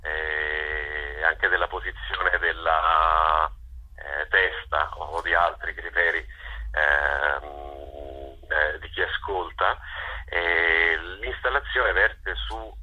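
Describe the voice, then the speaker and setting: Low, husky man in an interview. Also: sharp tone, low-quality recording. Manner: hesitant, searching for words with many pauses. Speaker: festival organizer being interviewed. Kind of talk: unscripted interview.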